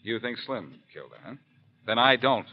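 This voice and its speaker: An actor playing a cocky amateur detective in 1940s radio drama style, glib and sardonic, on a dull old recording. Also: male voice, mid-range voice, lively intonation, moderate pace, lightly acted.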